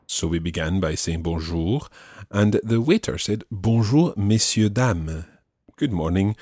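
Slow, low-voiced male language teacher. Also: lively intonation, a little mellow, breathy edge, no room sound, studio quality. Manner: patient, repeats phrases slowly. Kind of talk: explaining French phrases in English.

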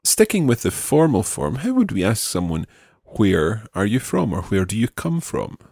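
A man with a low, animated voice leads a language lesson in a dry, close recording. He prompts patiently and pronounces each phrase with care, in an instructive manner. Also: moderate pace, gravelly, slightly rough, no echo. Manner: patient, instructive, carefully enunciated. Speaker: French language teacher.

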